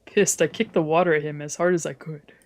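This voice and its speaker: Streamer reading as a soft-spoken, wry young man. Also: medium pitch, steady tone, brisk, close to an everyday voice. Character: brooding young man narrating, wry and guarded. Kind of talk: dramatic read-aloud of a visual novel.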